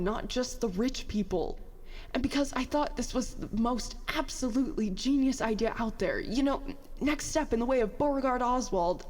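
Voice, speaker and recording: A woman's voice: fairly high pitch, darkish tone, in a large hall, natural voice. A woman playing a bitter, self-doubting young former prodigy in an audio drama, with a sarcastic, self-deprecating, rambling way of talking. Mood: dejected. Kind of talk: audio drama dialogue, confessional monologue.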